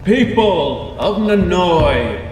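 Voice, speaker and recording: Guy doing a grave old politician orator for a tabletop game, declaiming loud and raised, music playing under it. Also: sits high, big pitch swings, drawn-out words, echoey room, far from the mic, voice pushed up, full character voice, poor audio. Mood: booming, rallying. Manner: declamatory, weighty delivery. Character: old hunched male statesman orator addressing a crowd, grave, commanding.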